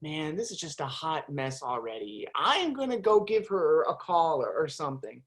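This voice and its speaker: A woman's voice: low-pitched, big pitch swings, moderate pace. A woman playing a flustered, stammering stage manager who scolds the narrator in a community stage play. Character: flustered female stage manager trying to keep the show going.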